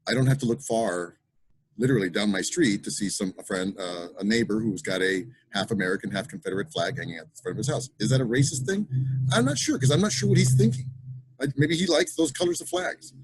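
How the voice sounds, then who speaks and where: A man's voice: lowish voice, fast talker, husky, low-quality recording. A man answering as a mayoral candidate at a town forum over a video call, easygoing and rambling.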